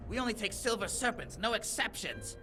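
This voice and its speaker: A woman's voice: fairly high pitch, lively intonation, brisk, natural voice. Actress as a brusque, swooping-voiced innkeeper woman. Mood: dismissive. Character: brusque, streetwise port-town innkeeper. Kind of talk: video game voice acting. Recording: music behind the voice.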